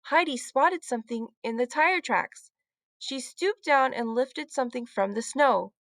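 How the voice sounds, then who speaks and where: A woman's voice: high-pitched, unhurried, a bit bright, dry recording. A woman narrating a children's storybook as a read-aloud storyteller.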